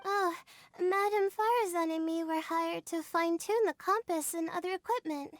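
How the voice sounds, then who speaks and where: A woman's voice: very high voice, moderate pace, dry recording, studio quality. A woman voicing a meek, worn-out young student in a video game, hesitant and drowsy. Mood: polite, tired. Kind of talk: English video game voice acting. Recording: music behind the voice.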